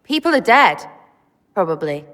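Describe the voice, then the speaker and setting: A woman's voice: medium pitch, sing-song, moderate pace, a bit bright. An actress playing a scrappy, irreverent young female rogue in a video game, slangy, clipped and blunt. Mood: edgy, irreverent. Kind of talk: video game cutscene acting.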